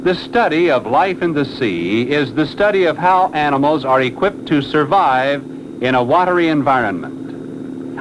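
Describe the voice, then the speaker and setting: A man narrating a scripted 1960s educational film in a formal, measured, expository manner. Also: fairly high pitch. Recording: noisy old 1960s film soundtrack.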